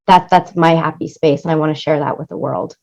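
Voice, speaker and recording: Female interview guest, lowish voice, effusive and emphatic with repeated phrases, on slightly muffled lo-fi audio. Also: fairly even intonation, brisk, creaky, darkish tone. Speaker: interview guest, music supervisor and producer. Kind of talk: enthusiastic interview conversation.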